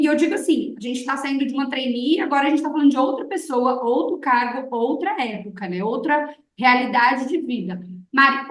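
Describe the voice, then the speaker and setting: High-voiced Brazilian woman in an echoey room. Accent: Brazilian Portuguese. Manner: expressive, emphatic, colloquial. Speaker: interview host, English teacher. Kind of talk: casual interview conversation. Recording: echoey room, distant from microphone.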